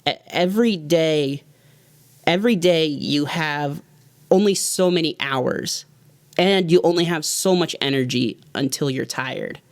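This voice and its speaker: A man's voice: a little high, unhurried, no room sound. A man in his thirties, a music producer, giving an earnest, heartfelt talk to his viewers in a loose, rambling way. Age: 30s. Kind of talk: reflective motivational vlog talk.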